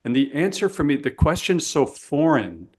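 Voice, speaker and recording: A man's voice: a little high, big pitch swings, moderate pace, a little mellow, breathy edge. A man from Canada hosting a podcast interview, telling stories with enthusiasm and backing up his guest. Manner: enthusiastic, storytelling, affirming. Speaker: podcast host.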